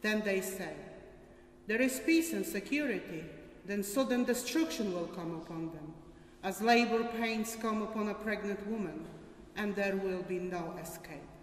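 A woman's voice: mid-range voice, a little slow, slight background noise. An older woman giving a formal scripture reading at a church service. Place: large stone church, at a lectern. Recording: echoey church, distant mic.

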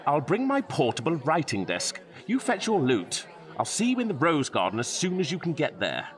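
A man's voice: on the high side, wildly swooping pitch, normal speed. A man playing a nonchalant, evasive Tudor court composer in a comic audio drama, flippant, airy and mock-earnest. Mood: breezy.

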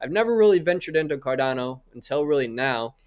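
A man's voice: mid-range voice, animated, quick pace. A young man hosting a podcast interview from a small room on a close mic, friendly and asking long, rambling questions. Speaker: podcast host. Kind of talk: casual interview.

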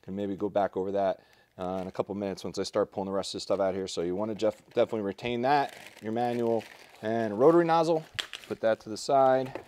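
A man presenting a product unboxing in a relaxed, practical way, thinking aloud with fillers, recorded indoors in a large concrete-floored space. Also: on the low side, fast, slight background noise. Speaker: tool store presenter. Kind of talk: casual product unboxing walkthrough.

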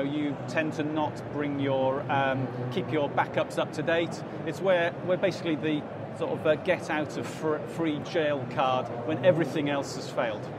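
A man in his forties, a company director, explaining his service in an interview on a busy exhibition floor, with frequent fillers and restarts. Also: a little high. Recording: loud hall noise behind. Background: crowd chatter and hall noise.

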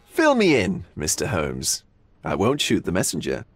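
An actor playing a languid, flamboyant art lover in a video game, speaking with arch theatrical drawl. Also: male voice, low voice, huge pitch swings, moderate pace, husky, no room sound, faint music behind, full character voice. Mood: expectant. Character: droll, languid, flamboyant male art aesthete. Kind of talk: video game cutscene acting.

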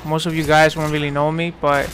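A man's voice: a little high, little pitch movement, fairly quick, fairly bright tone, slight background noise. A man in his twenties from New York giving casual, rambling commentary over gameplay, full of fillers and restarts. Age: 20s. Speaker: gaming commentator.

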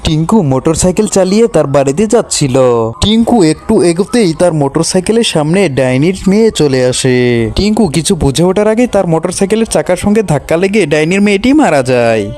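A man's voice: a little high, expressive, fairly quick, a little mellow. A man narrating a scripted children's story. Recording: music behind the voice.

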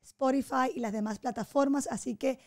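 A woman in her thirties hosts a podcast, talking in a fairly high voice. She is expressive and affirming, and she gestures as she speaks. She is close on a studio mic in a small home studio. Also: normal speed. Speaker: podcast host. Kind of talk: casual interview chat. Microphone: studio mic on boom arm, headphones.

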